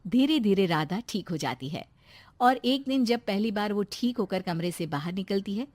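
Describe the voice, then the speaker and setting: A woman's voice: mid-range voice, expressive, normal speed, a little mellow, dry recording. A woman narrating a scripted story.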